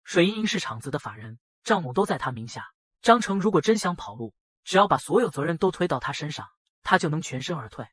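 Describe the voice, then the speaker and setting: A man with a husky voice narrating a dramatized first-person story. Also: fairly high pitch, sing-song, fast, a bit bright, dry recording, studio quality. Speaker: narrator and voice of the male lead.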